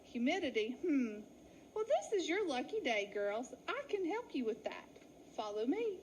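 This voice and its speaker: A woman's voice: high-pitched, very animated, moderate pace, darkish tone, slight background noise, low-quality recording. A woman voicing a tough, no-nonsense old ewe in a children's storybook. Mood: slyly helpful. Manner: firm, no-nonsense. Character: tough old suspicious female sheep, leader of flock. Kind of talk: children's storybook read-aloud.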